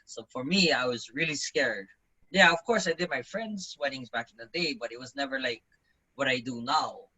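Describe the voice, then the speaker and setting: A man in his forties tells his story in a relaxed interview with a bright, husky voice. He talks like the event emcee he is and throws himself into quoting others. His voice jumps up loud whenever he quotes someone. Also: medium pitch, moderate pace, rough recording. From Hawaii. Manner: animated, acts out quoted lines in a raised voice. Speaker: business owner, event emcee.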